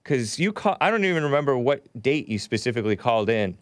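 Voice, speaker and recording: A man's voice: mid-range voice, sing-song, quick pace, dry recording. A man hosting a call-in show, talking casually in short, laconic replies.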